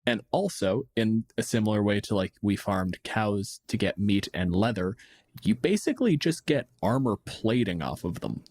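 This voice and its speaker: A man's voice: lowish voice, lively intonation, normal speed, a little mellow, dry recording. A man chatting casually as a podcast host, riffing on game monsters in a dry, blunt way and swearing freely. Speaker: podcast host answering listener questions.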